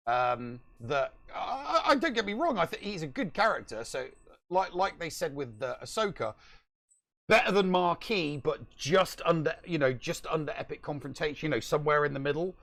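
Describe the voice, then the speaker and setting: A man's voice: fairly high pitch, lively intonation, normal speed, gravelly, fairly bright tone. A middle-aged man co-hosting a podcast from home over a video call, casually debating a video game. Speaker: podcast co-host.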